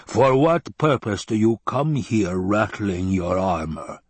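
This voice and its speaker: Man playing a slow, rumbling, menacing baron. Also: lively intonation, breathy edge, no echo, heavily acted. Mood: roaring anger. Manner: slow, contemptuous, imperious. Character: menacing, scheming, obese male baron and tyrant. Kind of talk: dramatised audiobook.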